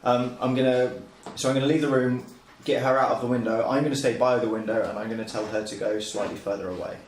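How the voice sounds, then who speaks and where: Fast-talking man in an echoey room. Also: mid-range voice, steady tone. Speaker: tabletop game player. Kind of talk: casual chat during a game. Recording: roomy echo, faint background noise.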